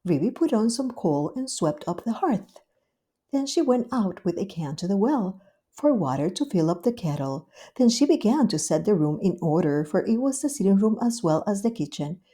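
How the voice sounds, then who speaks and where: Gentle, mellow woman reading a storybook. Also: mid-range voice, very animated, normal speed, breathy edge. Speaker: storybook reader. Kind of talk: scripted narration of a children's story.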